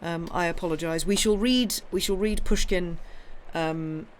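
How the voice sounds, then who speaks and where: A young woman with a hoarse, croaky voice chats casually with her viewers after reading a story. She rambles in a friendly, apologetic way, full of ums and restarts. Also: fairly low pitch, unhurried, a little hiss or hum. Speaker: livestream story reader.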